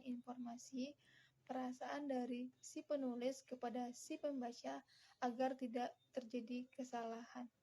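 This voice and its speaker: Higher-pitched, mellow young woman reciting formally. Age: young adult. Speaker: university student presenter. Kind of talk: scripted presentation, reading aloud.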